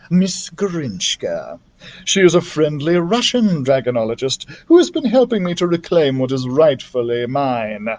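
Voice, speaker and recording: A man's voice: on the high side, darkish tone. A man voicing a smug, pompous storybook villain with mock-polite menace, bent on revenge. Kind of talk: storybook character voice.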